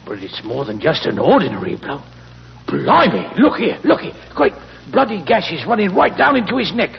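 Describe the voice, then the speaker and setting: An actor playing a brisk, sceptical London policeman in a 1940s radio drama, blunt and full of questions. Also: sits high, sing-song, gravelly, a crowd in the background, full character voice. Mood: alarmed. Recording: muffled, echoing 1940s radio recording.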